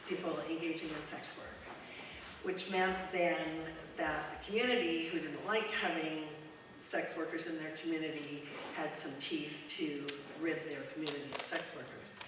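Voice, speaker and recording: A woman speaks to a congregation in a loud, friendly, unhurried way, pausing on fillers. Her voice sits a little low. The recording is roomy and echoey, picked up from across a church hall with noise in the background. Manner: warm, conversational, with frequent ums. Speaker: guest speaker, charity executive director. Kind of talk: informal talk to a church congregation. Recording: echoey church hall, mic at a distance, noisy.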